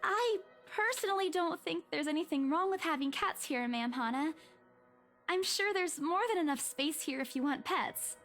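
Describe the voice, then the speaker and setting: Casting: high, girlish-pitched working young woman, polite and easily flustered, game character. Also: animated, normal speed, a bit bright, faint music behind, exaggerated voice. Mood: hesitant, deferential. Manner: polite, hesitant, deferential. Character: polite, flustered young female real estate agent. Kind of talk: visual novel voice acting.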